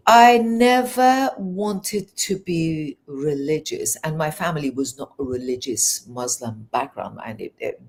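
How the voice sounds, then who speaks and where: A middle-aged woman from Iran, a native Farsi speaker whose accent mixes Iranian and English tones, telling her life story as an interview guest in an earnest, animated way. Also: low-pitched, a little slow, hardly any pauses. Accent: Iranian, learned English in England.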